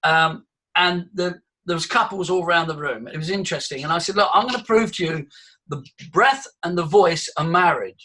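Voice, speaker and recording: A man's voice: on the high side, moderate pace, sharp tone, low-quality recording. A man leading a voice workshop, demonstrating breathing and vocal sounds for his students. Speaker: voice coach. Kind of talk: live workshop teaching.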